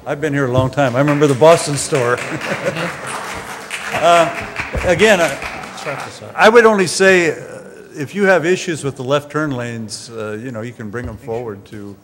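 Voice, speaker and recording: A man answering questions at a public meeting, polite and halting, with many restarts. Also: medium pitch, fairly bright tone. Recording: reverberant meeting hall.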